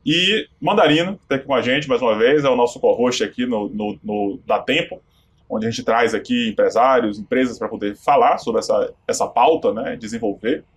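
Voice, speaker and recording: A man's voice: mid-range voice, expressive, moderate pace, vocal fry, slightly rough. A man hosting a podcast, introducing guests in a casual, conversational way. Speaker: podcast host.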